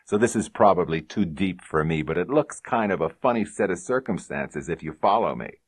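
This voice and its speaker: A man's voice: deep voice, animated, moderate pace, breathy edge, no echo, studio quality. A man acting a cocky, drawling police sergeant. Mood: sardonic. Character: cocky, sardonic police sergeant with cold amusement. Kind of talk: hardboiled detective audiobook dialogue.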